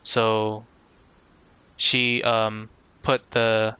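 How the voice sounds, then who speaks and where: Slow, clear-voiced man streaming a game. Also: on the low side, monotone, pushed and bright, no room sound. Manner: halting, searching for words, apologetic and polite. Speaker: live streamer. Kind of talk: casual game stream commentary, chatting with viewers.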